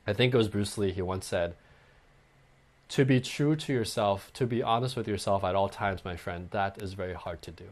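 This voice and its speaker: A man's voice: lowish voice, moderate pace. A man giving dating advice in a conversational way, often acting out sample lines and checking in with "okay" and "right". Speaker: dating coach guest.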